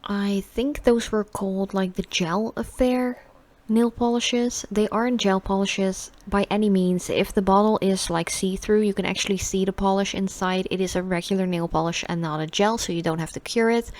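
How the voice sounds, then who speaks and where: A woman's voice: mid-range voice, fairly even intonation, moderate pace. A young Dutch woman with a Dutch accent, chatting casually and rambling through a beauty unboxing vlog, with lots of fillers. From Netherlands. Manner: chatty, many fillers, soft asides. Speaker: beauty vlogger.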